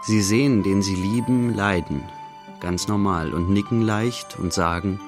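A male actor as the narrator of a literary radio drama. His voice is fairly low and matter-of-fact, laying out the story in short clipped phrases. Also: unhurried, over music, close to an everyday voice. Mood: grave, measured. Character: dry male narrator observing an artist's life.

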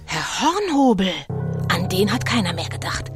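An excitable, fearful female narrator voiced by an actress gives suspenseful commentary in a children's spooky radio play. Her mid-pitched voice is set over prominent dramatic music. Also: normal speed, natural voice. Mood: alarmed, excited. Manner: suspenseful, shifting from whispers to cries.

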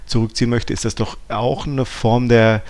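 A man's voice: medium pitch, little pitch movement, moderate pace, darkish tone. A man co-hosting a podcast, talking analytically with hesitant starts and frequent rephrasing. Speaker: podcast co-host.

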